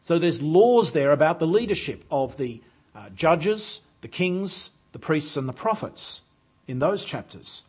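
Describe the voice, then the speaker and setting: Male preacher, slightly raised pitch, husky tone, measured delivery with filler pauses. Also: very animated, darkish tone. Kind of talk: sermon. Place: church.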